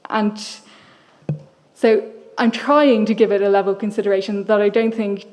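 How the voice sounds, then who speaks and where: A woman's voice: mid-range voice, fairly even intonation, normal speed, darkish tone, poor audio. A young adult woman from Ireland giving a thoughtful, wry public lecture in a reflective manner.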